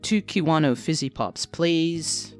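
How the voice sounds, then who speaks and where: Woman playing a low-voiced, wry student mage. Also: animated, slow pace, darkish tone, faint music behind. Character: wry young mage student, friendly and casual. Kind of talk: audio drama dialogue.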